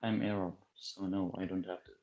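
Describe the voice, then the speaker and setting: 19 yo guy, dark raspy low voice, short quiet answers, muffled phone audio. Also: fairly even intonation, brisk. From Nazareth, Israel. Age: late teens. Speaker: random video chat partner. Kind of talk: casual video chat.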